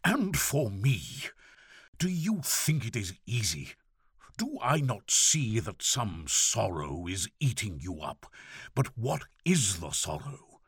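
A man's voice: rumbling low, very animated, takes it slowly, hardly any pauses, husky, no echo, clean studio sound, full character voice. Man acting a harsh, insistent old priest. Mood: anguished pleading. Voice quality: loud, harsh. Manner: formal, insistent, emphatic. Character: stern, tall, heavy old priest father, proud and pained.

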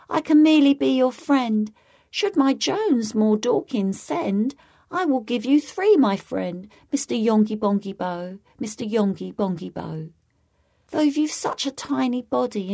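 A woman voicing a sad, gentle lady from a nonsense poem, speaking in a high, lilting sing-song. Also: vocal fry, exaggerated voice.

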